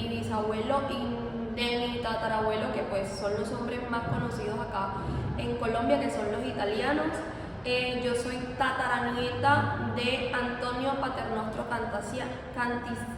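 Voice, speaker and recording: A woman in her late twenties from Venezuela, giving a hesitant, self-correcting personal account as an interviewee. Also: a little high. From Venezuela, Margarita Island. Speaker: interviewee, university student. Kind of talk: informal personal recollection in an interview. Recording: very echoey hall, distant mic, noisy.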